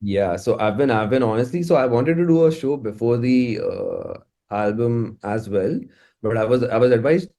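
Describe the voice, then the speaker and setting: A man's voice: medium pitch, moderate pace, warm tone. A man with an Indian accent chatting casually in an interview as a musician, often repeating words as he forms his thoughts. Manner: informal, stammering repetition of words as thoughts form.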